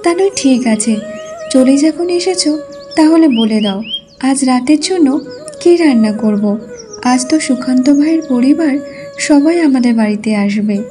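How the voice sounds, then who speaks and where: A woman's voice: high voice, animated, moderate pace, dark tone. A woman narrating a cartoon folk tale and voicing its village wives. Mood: curious. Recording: music behind the voice.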